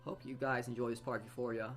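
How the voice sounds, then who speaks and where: A man's voice: mid-range voice, flat delivery, fast, fairly bright tone. A man reading a visual novel aloud as a let's play host, stumbling over words and rereading phrases as he goes. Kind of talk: reading narration aloud with side comments. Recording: music behind the voice. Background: game music.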